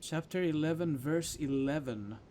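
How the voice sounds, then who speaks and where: A man's voice: fairly high pitch, moderate pace, mellow, a little hiss or hum. A man giving casual commentary as he plays a video game, muttering to himself as he decides what to do. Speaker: gamer commentating on playthrough.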